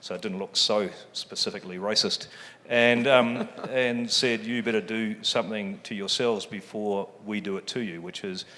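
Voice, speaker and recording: A man's voice: fairly low pitch, a bit bright. A man with a New Zealand accent speaking on a panel, joking and self-deprecating, with plenty of ums. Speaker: panelist, fund chief executive. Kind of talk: public forum talk. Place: large event hall. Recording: long hall reverb.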